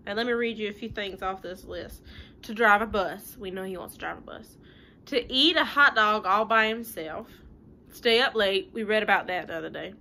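A woman's voice: mid-range voice, normal speed, bright, forceful tone. A woman in her thirties reading a picture book to children, chatty and sing-song, with casual asides that explain things simply. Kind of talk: animated read-aloud with casual asides. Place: living room at home. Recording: home recording with light room noise.

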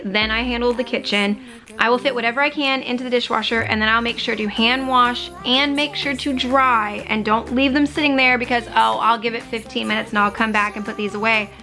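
A woman's voice: a little high, pushed and bright. A woman in her thirties giving practical, matter-of-fact cleaning tips as a home vlogger in a voice-over. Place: home living room, on a couch. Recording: voice-over with music underneath. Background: background music.

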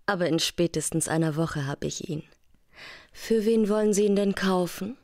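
An actress playing a guarded, calculating femme fatale in a German radio crime drama. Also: female voice, on the low side, normal speed, darkish tone, lightly acted. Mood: cool, businesslike.

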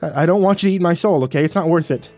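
A man playing an awkward, frightened young man in an audiobook, stammering and croaky as he pleads and haggles. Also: medium pitch, normal speed, darkish tone, soft background music, close to an everyday voice. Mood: panicked yelling. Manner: stammering, croaky, whiny desperation. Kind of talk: audiobook character voice.

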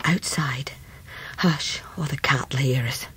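An actress playing a loyal, homely Victorian nursemaid, husky, tender and plain-spoken, with an English accent in a radio drama. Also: female voice, a bass voice, slow pace, a bit bright, slight background noise, heavily acted. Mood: secretive. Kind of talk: 1990s radio drama acting.

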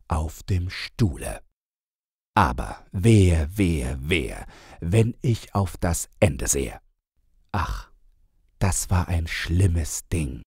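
A man narrating rhyming children's verse as an audiobook, in an expressive, measured storyteller's manner. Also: deep voice, husky, dry recording, studio quality.

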